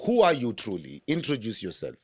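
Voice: mid-range voice, a little fast, slightly rough. A South African host opening and leading a casual conversation, playful and teasing, switching between languages. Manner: playful, animated, mixes languages.

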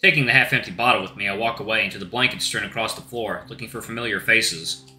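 Man with a sick, lowered voice. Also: fast talker, pushed and bright. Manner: casual, says y'all, restarts lines. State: sick, voice deeper than usual. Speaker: Let's Play host. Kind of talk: reading a visual novel aloud. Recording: roomy with faint music.

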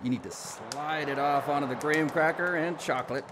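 A man's voice: fairly high pitch, fairly quick, background noise, close to an everyday voice. An actor playing a folksy, affable man camping in his RV, making genial, neighborly small talk in a radio drama. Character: folksy, affable male RV camper.

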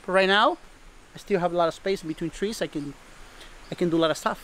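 Casual farm guide: middle-aged man, Costa Rican accent, Spanish native, high voice, light outdoor ambience. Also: fast talker, fairly bright tone, a little hiss or hum, rough recording. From Costa Rica. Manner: repeats words, simple non-native English phrasing. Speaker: farmer showing his homestead. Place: outdoors in a tropical food forest.

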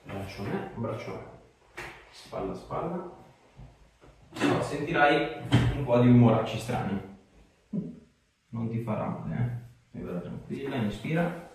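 A man's voice: medium pitch, lively intonation, measured pace, vocal fry, pauses often. A man, an osteopath casually giving a patient reassuring, encouraging instructions in a treatment room. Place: small treatment room, indoors. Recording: echoey room, distant mic, faint noise.

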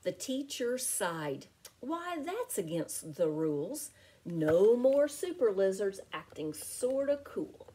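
Middle-aged female teacher for picture book read-aloud: mellow, slightly husky, sing-song delivery. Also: medium pitch, very animated, slow. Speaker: teacher reading aloud to children. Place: small home room with window blinds.

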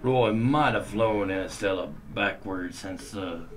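A man plays a carefree, none-too-bright space adventurer in a comic radio play. His voice is low and he speaks slowly, with a deadpan, self-important manner. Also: a little hiss or hum, natural voice. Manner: slow, deadpan, refers to himself by name. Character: dim, cocky, carefree space adventurer speaking in third person.

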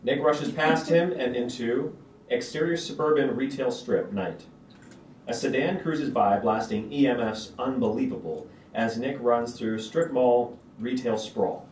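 A man's voice: medium pitch, measured pace, darkish tone. Guy reading stage directions at a script reading, roomy sound, some noise. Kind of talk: screenplay table read, scripted narration. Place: stage of a small auditorium. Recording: roomy auditorium, distant mic, background noise.

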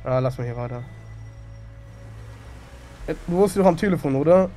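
A man with a notably high, light voice talks over a headset in a game roleplay session. He fires off short urgent directions and laughs easily, in a casual, bantering tone. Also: animated, dark tone, soft background music. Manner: barks quick driving directions, laughs often. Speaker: streamer playing a criminal character.

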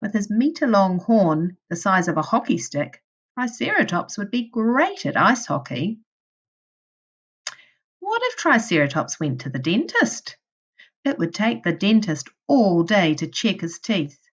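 A woman teacher reading a children's storybook aloud in a deliberate way, addressing young children. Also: mid-range voice, big pitch swings, slightly rough, lo-fi sound. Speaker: primary school teacher.

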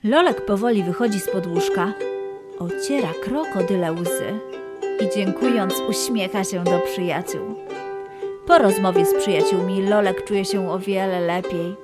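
A woman's voice: mid-range voice, a little slow. A woman storyteller reading scripted narration for a children's tale. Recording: music behind the voice.

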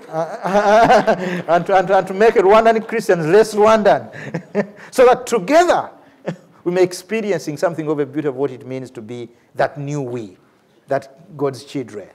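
Ugandan man lecturing, fairly high voice. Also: measured pace. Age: middle-aged. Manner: animated, repeats words for emphasis, chuckles between phrases. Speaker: lecturer, theology professor and priest. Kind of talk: lecture with off-the-cuff answer to a question. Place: large university lecture hall, at a lectern.

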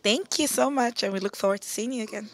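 A woman's voice: on the high side, quick pace. A woman in her thirties answering interview questions as an event coordinator, speaking into a handheld microphone. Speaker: event coordinator and senior consultant. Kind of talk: on-camera interview. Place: busy office computer room indoors.

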